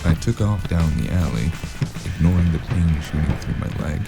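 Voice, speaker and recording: A man playing a weary, sardonic investigator in a scripted cyberpunk noir audio drama, telling his story in a gravelly, cursing voice. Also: a bass voice, moderate pace. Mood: tense, weary. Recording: music bed behind the voice.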